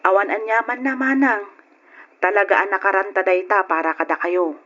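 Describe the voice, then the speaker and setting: A woman's voice: mid-range voice, fairly even intonation, normal speed, a bit bright, close to an everyday voice. A woman playing a supportive, advising younger sister in a radio drama, with an Ilocano accent. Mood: sympathetic. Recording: muffled old radio recording.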